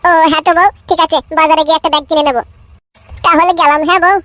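A man voicing a hapless, placating poor husband in an amateur cartoon, with a pitch-shifted voice. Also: steady tone, rapid-fire, pushed and bright, dry recording. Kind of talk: amateur cartoon comedy dialogue.

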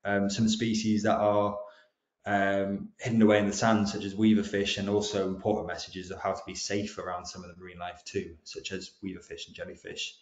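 A man's voice: on the low side, level tone, brisk. Welsh man, young adult, hesitant presenter over a dull, echoey online call. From Wales. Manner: hesitant, frequent ums and restarts. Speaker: guest speaker. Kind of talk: presentation talk with slides.

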